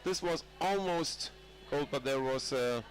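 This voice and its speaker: A man's voice: a little high, unhurried, faint music behind. A man with a cold commentating a live match, rambling with many fillers and restarts. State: has a cold. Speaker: sports commentator.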